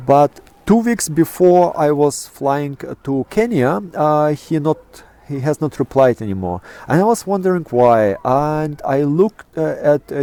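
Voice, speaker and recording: A middle-aged man with a Russian accent and a dark, mellow tone, speaking English as a second language. He speaks haltingly, with many "uh"s and restarts, as he answers an interviewer outdoors in a national park. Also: a little high. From Kazakhstan. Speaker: interviewee, astrophotographer. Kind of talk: casual interview talk.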